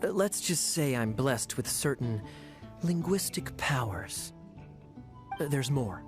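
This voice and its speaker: A suave, teasing knight captain. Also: male voice, mid-range voice, expressive, takes it slowly, music in the background. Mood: coy, sly. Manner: teasing, drawling, theatrical. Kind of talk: video game voice acting.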